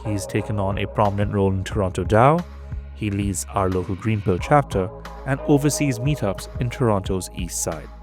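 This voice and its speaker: A man reading a scripted podcast introduction in a measured way. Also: lowish voice, over music. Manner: measured, read-out delivery. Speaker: podcast host.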